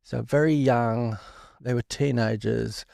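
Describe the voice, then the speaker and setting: A man in his forties, born in Ireland and raised in London, speaking as a guest in a recovery interview in a reflective, halting way with frequent restarts. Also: mid-range voice, steady tone, creaky, darkish tone, dry recording, clean studio sound.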